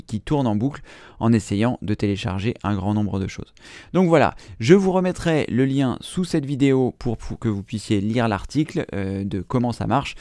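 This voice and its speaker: A man's voice: fairly low pitch, dark tone, dry recording, clean studio sound. A man in his thirties hosting a computer tutorial, explaining step by step with patient fillers. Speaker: computer training host. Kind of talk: screen-recorded tutorial.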